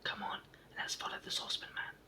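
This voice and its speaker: A reader whispering the part of an eager, quick-thinking male brownie in a storybook. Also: distant mic, natural voice.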